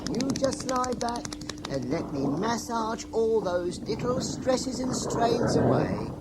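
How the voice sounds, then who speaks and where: An actor playing an obsequious personal attendant and masseur in a science fiction radio drama, deferential and coaxing. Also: male voice, very high voice, sing-song, slow, full character voice. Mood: soothing. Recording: noisy, slightly off mic.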